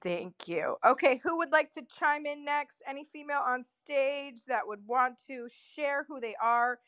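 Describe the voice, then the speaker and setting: Higher-pitched woman hosting, informal and direct. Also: animated, normal speed, fairly bright tone, dry recording, clean studio sound. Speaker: host, room moderator. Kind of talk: casual live moderating.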